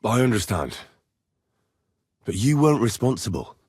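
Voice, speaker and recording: Young medieval man, husky, dry close recording, blunt, righteous, earnest, video game dialogue. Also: fairly low pitch, very animated, moderate pace, a little mellow, dry recording, exaggerated voice. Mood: earnest, matter-of-fact. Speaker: voice actor.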